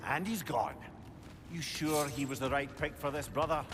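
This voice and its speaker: A man's voice: medium pitch, moderate pace, background noise, lightly acted. A man voicing a wry, talkative, clever older companion in a video game, with a sardonic, wordy manner. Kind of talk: video game dialogue acting.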